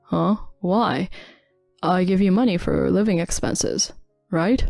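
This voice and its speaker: A woman's voice: lowish voice, lively intonation, slow pace, vocal fry, a little mellow, no echo, faint music behind, heavily acted. A woman voicing a dismissive young husband who talks down to his pregnant wife. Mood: scornful. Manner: curt, condescending.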